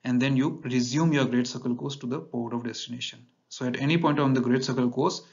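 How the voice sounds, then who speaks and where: Gravelly man explaining over slides. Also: medium pitch, fairly even intonation, darkish tone. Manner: measured, explanatory, with frequent fillers and restarts. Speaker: navigation instructor. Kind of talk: slide-based lecture. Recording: dull lo-fi voice-over.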